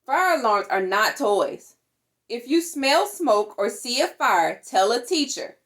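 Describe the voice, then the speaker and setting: A woman reading a children's safety book aloud in an animated, instructive manner. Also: a little high, slow. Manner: animated, instructive, acts out sound words. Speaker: storytime reader.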